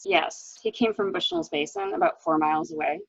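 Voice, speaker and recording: A woman plays an anxious widow in a murder mystery, hesitant yet dramatic. Her husky voice swoops expressively and crackles with fry, on a dry, dull lo-fi recording. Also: fairly high pitch, wildly swooping pitch, a little fast, dry recording, heavily acted. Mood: tense, hesitant. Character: anxious, hesitant new widow recounting her husband's misdeeds. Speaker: library staff reader.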